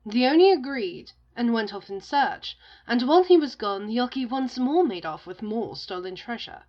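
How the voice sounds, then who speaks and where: A woman's voice: fairly high pitch, expressive, moderate pace, muffled recording. A woman narrating a folktale as a storyteller, in a scripted storybook style.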